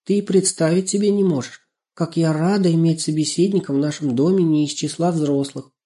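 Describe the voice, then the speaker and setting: A man voicing a ringing, high-pitched tender little girl. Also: steady tone, a little fast, mellow, natural voice. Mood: glad, curious. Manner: exclaiming, full of questions. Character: young blind girl, affectionate, curious, easily frightened.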